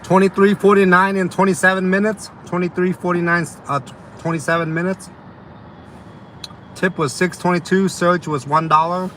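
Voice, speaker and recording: Bright-voiced man with a slight Vietnamese accent. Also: high-pitched, little pitch movement, moderate pace, slight background noise. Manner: loose and rambling, lots of ums and uhs. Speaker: delivery driver streaming to viewers. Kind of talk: casual live chat reading viewer comments.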